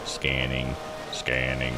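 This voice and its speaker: A man's voice: extremely low pitch, completely flat delivery, takes it slowly, rough recording. A man doing casual let's play commentary as a game streamer, thinking aloud in folksy, slangy phrases. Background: game music.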